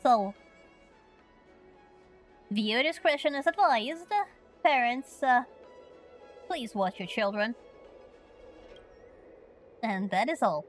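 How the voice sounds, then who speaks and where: A woman's voice: on the high side, animated, slow, long pauses, faint music behind. A woman from Finland streaming a story game, chatting playfully and reading questions aloud.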